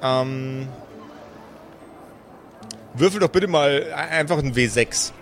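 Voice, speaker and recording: A man narrating an improvised tabletop game as game master, hesitant and pausing mid-sentence. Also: mid-range voice, fairly bright tone, faint music behind.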